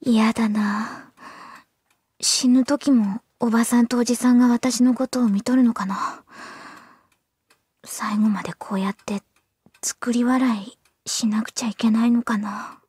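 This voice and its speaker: Gloomy anime schoolgirl, high voice, polite but flustered, thinks she's worthless. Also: fairly even intonation, measured pace, long pauses, a little mellow, husky, no room sound. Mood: gloomy, brooding. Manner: flustered, pessimistic, polite speech. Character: gloomy, self-loathing sixteen-year-old schoolgirl. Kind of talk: anime audio drama acting.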